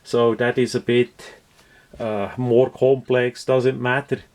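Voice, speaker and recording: A man giving an unscripted hands-on product review in a halting, methodical, explanatory way. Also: mid-range voice, flat delivery, darkish tone.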